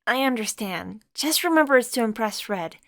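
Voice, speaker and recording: Woman doing a high, cheery young woman friend, eager and pushy, comic dub. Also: lively intonation, normal speed, gravelly, no room sound, clean studio sound. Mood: upbeat. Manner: upbeat and eager, high bright delivery.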